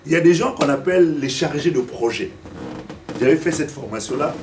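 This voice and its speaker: A man's voice: fairly high pitch, expressive, fairly quick, noisy background. A man in his fifties from Africa preaching a Sunday sermon, stumbling and repeating words as he searches for them and putting questions to the congregation. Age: 50s. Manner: asks the congregation direct questions. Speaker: pastor. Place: church service. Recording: distant mic with faint room ambience.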